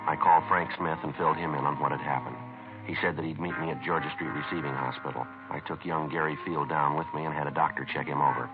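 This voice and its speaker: An actor playing a stern, matter-of-fact police detective in a 1950s radio drama. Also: male voice, rumbling low, steady tone, fast, loud music behind the voice. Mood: dry narration. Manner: terse, matter-of-fact, authoritative. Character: stern, clipped, no-nonsense male police detective. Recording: muffled, noisy vintage radio recording.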